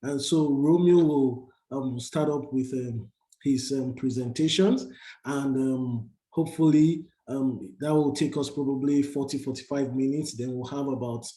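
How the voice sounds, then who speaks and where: A man's voice: mid-range voice, unhurried, dark tone, reverberant, low-quality recording. A man hosting an online session, speaking hesitantly with many fillers. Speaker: webinar host. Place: Calgary.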